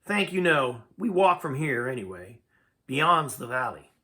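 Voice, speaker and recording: A man reading the storybook part of a steady, plainspoken mountain guide in an understated, folksy way. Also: medium pitch, unhurried. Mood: matter-of-fact.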